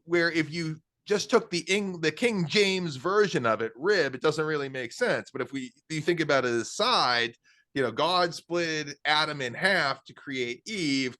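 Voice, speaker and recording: A man's voice: on the high side, sharp tone, dry recording, clean studio sound. A male podcast host who talks in a rambling way, full of fillers. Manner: rambling, filler-heavy musing. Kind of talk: conversational interview.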